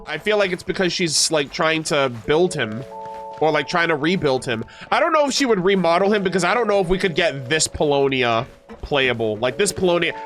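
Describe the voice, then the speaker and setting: A man's voice: fairly high pitch, a bit bright. A man streaming a video game, reading lore aloud and reacting informally to his chat. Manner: informal, mild swearing, addresses chat.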